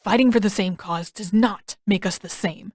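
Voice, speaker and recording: A woman's voice: medium pitch, moderate pace, darkish tone, no room sound, studio quality, lightly acted. A woman voicing a proud, hot-tempered teenage prince in an audio story, curt and defensive. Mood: angry, defensive.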